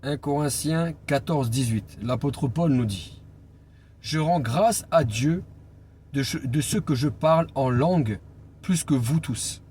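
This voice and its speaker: A man preaching an informal Bible teaching as an evangelist, speaking deliberately and pausing on key phrases for emphasis. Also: mid-range voice, darkish tone. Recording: clear background noise and ambience.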